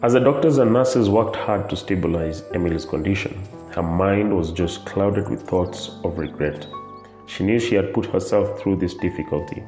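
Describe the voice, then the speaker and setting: A man's voice: low voice, normal speed, music in the background. A man narrating a scripted radio drama as its storyteller. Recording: echoey, roomy sound.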